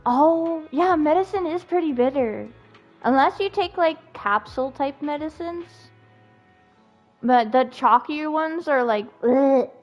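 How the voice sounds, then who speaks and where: A woman's voice: sits high, a little slow. A woman streaming a game with casual, chatty, reactive live commentary. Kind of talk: casual live gameplay chat. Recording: dull lo-fi mic, game music behind.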